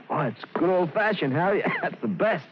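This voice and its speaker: Young American punk, boastful and eager for respect, lively pitch swings, old radio drama, muffled recording with faint noise. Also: male voice, full character voice. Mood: indignant bravado. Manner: eager, hesitant, punctuating talk with nervous laughs and questioning tags. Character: boastful small-time young male punk craving respect. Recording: dull, faintly noisy 1950s radio recording.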